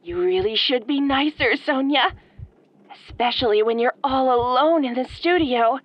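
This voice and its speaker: A woman acting as an obsessed young female fan turned killer in a horror audio roleplay, soft-spoken, girlish and pouty, with sweet menace. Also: high-pitched, normal speed, dry recording, highs cut off. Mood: sweetly threatening. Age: young adult.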